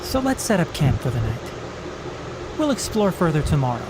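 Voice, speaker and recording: A man voicing a calm, clever young forest ranger in a video game, measured and precise. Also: fairly high pitch. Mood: matter-of-fact. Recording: game audio with noise.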